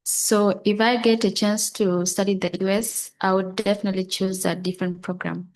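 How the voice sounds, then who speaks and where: A woman's voice: medium pitch, level tone, normal speed, rough recording. A young woman from South Sudan, speaking as a student in an audience.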